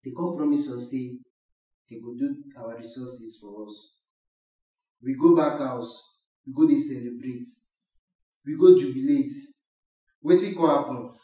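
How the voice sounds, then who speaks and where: A man's voice: medium pitch, unhurried, long pauses, natural voice. A student actor, a man with a Nigerian accent, playing an insistent traditionalist village chief who pleads in a declamatory way in a radio drama. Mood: insistent pleading. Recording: dull, echoey, distant from mic.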